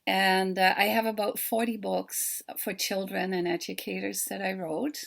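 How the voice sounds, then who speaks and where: An older woman from the Netherlands with a Dutch accent, a children's book author giving an earnest, unhurried video talk to a festival. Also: mid-range voice, little pitch movement, creaky. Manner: warm, earnest storytelling, slow and deliberate. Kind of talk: recorded video greeting to a festival.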